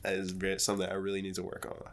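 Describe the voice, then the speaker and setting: A man's voice: low voice, monotone, racing, background noise. A man in his twenties chatting casually as a podcast guest, laid-back and rambling, swearing freely. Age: 20s.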